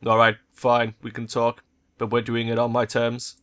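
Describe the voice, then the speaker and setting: A guarded cyborg woman voiced by a man, cool and detached, in a mid male register with a bright edge. She is a cyberpunk game teammate who keeps an icy monotone and gives little away. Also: medium pitch, moderate pace, natural voice. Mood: reluctant.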